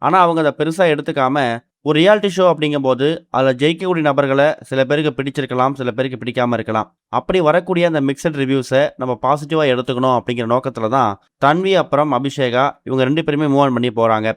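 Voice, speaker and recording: A man's voice: medium pitch, fast, no room sound, clean studio sound. A man reading a scripted entertainment news voice-over as narrator.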